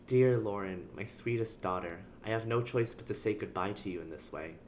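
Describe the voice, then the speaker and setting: A low-voiced man commentates casually on a livestreamed game. He reads on-screen text aloud and drops playful, sarcastic asides, with faint noise and typing behind him. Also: a little fast, a little mellow. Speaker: game streamer.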